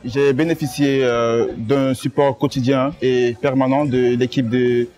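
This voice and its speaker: Young male student, Cameroonian accent, mid-pitched, flat intonation, testimonial over soft guitar. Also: a little slow. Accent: Cameroonian French. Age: young adult. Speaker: international student. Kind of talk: interview testimonial. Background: guitar music.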